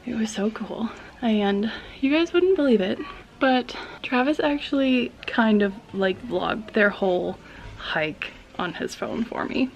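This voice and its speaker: A woman's voice: on the high side, expressive, measured pace, vocal fry, lo-fi sound, slight background noise. A woman in her thirties chatting casually to her vlog audience, talking into a phone camera at arm's length with lots of "like" fillers. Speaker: family vlogger. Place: indoors by a window, then outdoors.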